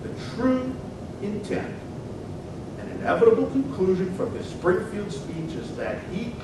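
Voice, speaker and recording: Casting: impassioned 19th-century American senator, high-pitched declamatory oratory, emphatic, heard in a heavily reverberant hall. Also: male voice, very animated, unhurried. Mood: firm, accusing. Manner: declamatory, emphatic, rhetorical questioning. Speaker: performer in a dramatic reading. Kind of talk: theatrical political oratory, dramatic reading. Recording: very echoey hall, distant mic, loud rumbling noise.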